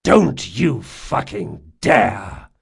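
A male narrator voicing a gruff, hot-tempered big man who scolds his friend in a growling voice, in an audiobook story. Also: mid-range voice, expressive, full character voice. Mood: growling anger. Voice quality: gravelly, growling. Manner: forceful, scolding, drawn-out emphasis.

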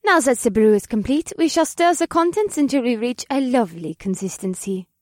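A woman playing a perky, poised witch professor in an audiobook, bright and encouraging in manner, with polite, eager delivery. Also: fairly high pitch, expressive, brisk, no echo, clean studio sound, lightly acted. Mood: instructive. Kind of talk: audiobook character dialogue.

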